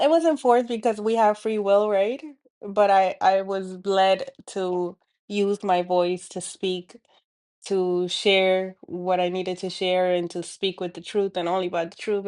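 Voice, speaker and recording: A woman's voice: medium pitch, darkish tone. A woman with a Dominican accent, hosting a spiritual healing talk, speaking earnestly to camera with many fillers and restarts. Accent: Dominican Spanish. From Dominican Republic. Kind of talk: reflective monologue to camera.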